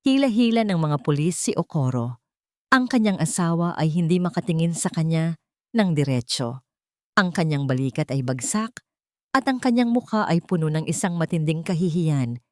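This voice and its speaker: Lowish-voiced woman narrating drama. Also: darkish tone, no room sound, studio quality. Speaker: storyteller.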